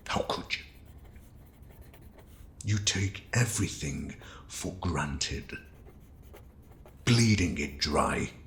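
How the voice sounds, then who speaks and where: An actor playing a cold, fanatical scientist villain who speaks with menacing deliberation and a gravelly creak in an audio drama. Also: male voice, deep voice, a little hiss or hum. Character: cold fanatical male scientist villain bent on culling humanity. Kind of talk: audio drama monologue and dialogue.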